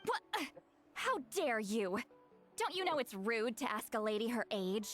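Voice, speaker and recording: A woman's voice: fairly high pitch, sing-song, a little slow, soft background music. A woman playing a proud, prickly game shaman who is called granny but seems young, haughty and theatrical. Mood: indignant. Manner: theatrical, haughty, huffy sighs and throat-clearing. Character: youthful-looking granny shaman, prickly, proud, easily embarrassed.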